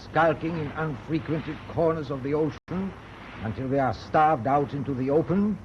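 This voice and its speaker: An actor in a British radio drama playing a determined German naval captain, formal, measured and authoritative. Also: male voice, a little high, lightly acted. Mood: stern, resolute. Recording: dull, faintly noisy old radio recording.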